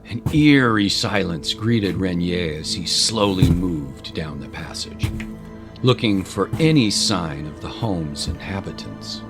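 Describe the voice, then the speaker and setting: Male narrator for historical fiction; fairly deep voice, scripted dramatic storytelling, prominent music bed. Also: expressive, takes it slowly.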